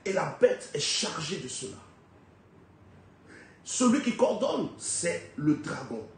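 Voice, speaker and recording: A man preaching a sermon with a head cold, firing off rhetorical questions and swinging sharply between loud high peaks and low, quiet drops. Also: very animated, takes it slowly. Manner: emphatic, rhetorical questions, big swings in pitch and loudness. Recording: very echoey hall, faint background noise.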